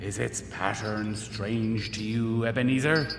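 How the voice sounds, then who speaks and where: A man playing the ghost of a dead old businessman in a radio play, speaking in slow, grave, booming pronouncements. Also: steady tone. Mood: solemn. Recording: echoey ghostly reverb with music.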